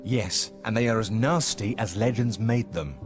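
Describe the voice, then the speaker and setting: A man's voice: on the low side, moderate pace, music in the background, natural voice. A man voicing an earnest, courteous adventurer in a video game, speaking politely and formally. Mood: earnest, respectful. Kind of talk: video game cutscene acting.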